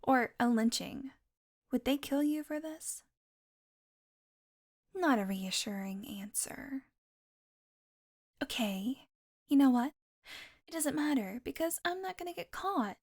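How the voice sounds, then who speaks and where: A woman's voice: high voice, animated, takes it slowly, long pauses, a little mellow, heavily acted. A woman playing a haughty, sardonic female vampire on the run in an audio roleplay, teasing and exasperated as she talks straight to the listener. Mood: incredulous, sardonic. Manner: sarcastic, teasing, exasperated sighs.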